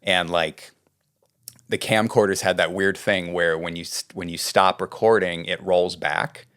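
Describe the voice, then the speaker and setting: A middle-aged man from California, a writing coach being interviewed, talking in a relaxed, rambling way. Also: deep voice, measured pace. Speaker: guest, script doctor and writing coach. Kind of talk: casual interview talk.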